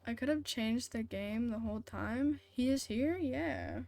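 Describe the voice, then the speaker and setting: A woman's voice: fairly high pitch, unhurried, creaky, darkish tone. A woman chatting casually on a live game stream, making short, informal, reactive remarks. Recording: music behind the voice.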